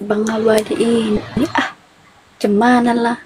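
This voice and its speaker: A woman's voice: on the high side, flat delivery, unhurried, very noisy. A woman from Toraja hosting a casual live stream, chatty and teasing. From Toraja, South Sulawesi. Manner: teasing, chatty, laughs often.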